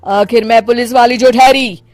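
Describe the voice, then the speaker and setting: A high, commanding older mother-in-law from a cartoon moral tale, acted by a woman. She is a strict retired policewoman who runs home like a parade ground, drawing out her orders in a dry, close recording. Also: fairly even intonation, a little mellow, no echo, faint music behind, close to an everyday voice. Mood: stern. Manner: barking drawn-out commands, strict. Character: stern retired policewoman mother-in-law, drill-sergeant bossy. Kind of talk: animated moral story voice acting.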